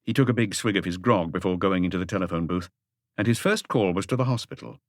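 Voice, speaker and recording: A man's voice: low voice, fast, no room sound, studio quality. A man reading a mystery novel aloud as an audiobook narrator, in a scripted literary style.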